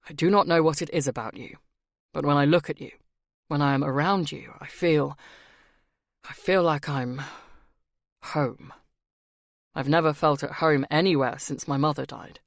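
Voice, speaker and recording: A woman's voice: deep voice, normal speed, creaky, long pauses, dry recording, clean studio sound. A woman voicing a brooding, protective man. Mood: tender.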